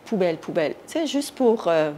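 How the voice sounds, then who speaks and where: A woman from Iran giving a spontaneous first-person account in an interview, often pausing to search for words. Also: lowish voice, big pitch swings, warm tone. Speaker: interviewee, activist recounting her detention. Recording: noticeable background noise.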